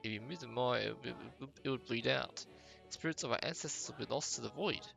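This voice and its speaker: A man's voice: medium pitch, a little fast, creaky, fairly bright tone, soft background music. Man voicing a solemn, protective fantasy islander. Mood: grave storytelling. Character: protective, superstitious islander guarding ancestral statues. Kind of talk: amateur game dialogue voicing.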